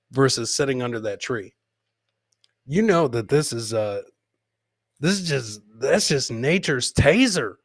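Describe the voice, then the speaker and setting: A man's voice: medium pitch, dry recording, clean studio sound. A man hosting a comedy show, casually commenting on clips with crude, mocking humour and repeating his punchlines.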